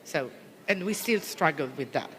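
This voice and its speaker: Italian policewoman, halting, echoey hall. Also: low voice, lively intonation. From Italy. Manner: halting, searching for words, many restarts. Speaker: police officer. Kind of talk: interview answers, conference delegate.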